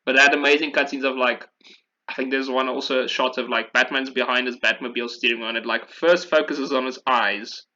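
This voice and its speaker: A man's voice: medium pitch, steady tone, a little fast, sharp tone, lo-fi sound. A man hosting a podcast, talking casually and with opinions about video games, often repeating phrases for emphasis. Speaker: podcast host.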